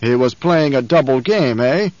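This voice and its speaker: A man voicing a menacing, scheming older male baron who talks in slow, rumbling commands. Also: animated, darkish tone, dry recording, close to an everyday voice. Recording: dull, dry studio recording.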